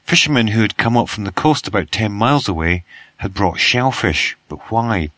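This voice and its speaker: British man, croaky from a cold, news reader, lowish pitch, bright forceful tone, dry close sound. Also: lively intonation, dry recording. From Britain. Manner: reads steadily, spells out web addresses slowly. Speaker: news podcast host. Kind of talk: read news bulletin.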